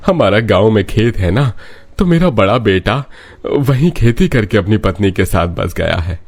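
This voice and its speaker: A man voicing a gentle, concerned elderly father-in-law in a cartoon story, earnest in manner. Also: lowish voice, lively intonation, normal speed.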